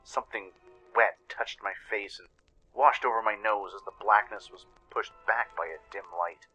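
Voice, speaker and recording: A man narrates a radio drama in a low, very sharp and forceful voice. His scripted storytelling is dramatic, with soft music underneath. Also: normal speed, poor audio. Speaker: narrator and producer.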